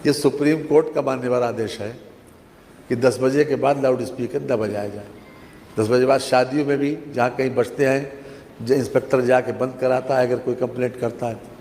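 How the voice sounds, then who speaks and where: A man's voice: medium pitch, steady tone, normal speed, darkish tone. A man replying as a minister in an assembly debate, emphatic and repetitive, with formal honorifics. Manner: emphatic, repeats phrases and uses formal honorifics. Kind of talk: impromptu parliamentary rebuttal. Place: large legislative chamber. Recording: reverberant assembly hall, faint noise.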